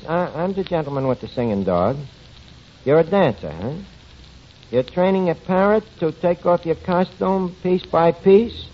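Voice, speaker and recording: An actor playing a cocky, scheming tavern manager with self-important patter in a 1940s radio sitcom. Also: male voice, a little high, heavily acted. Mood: wry. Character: tavern manager, scheming, boastful, dim wisecracking man. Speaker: comedy lead. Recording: muffled, noisy 1940s radio broadcast, studio audience.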